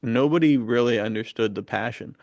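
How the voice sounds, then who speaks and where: A man's voice: mid-range voice, brisk, gravelly, darkish tone, no echo, studio quality. A man co-hosting a podcast in casual, slangy, bro-ish chat. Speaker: podcast co-host.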